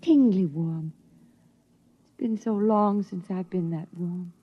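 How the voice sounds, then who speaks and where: An actress playing a frail mother longing for the lost sun, with a breathy, trembling voice, in a 1950s radio drama. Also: fairly low pitch, takes it slowly. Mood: dreamy. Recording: dull 1950s radio broadcast.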